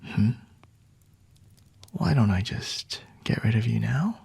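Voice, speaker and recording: A man playing a smug, flirty vampire in a whispered ASMR roleplay, teasing and condescending with a breathy, husky delivery. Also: mid-range voice, animated, slow, a little mellow, heavily acted. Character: flirty, smug male vampire toying with a captured hunter.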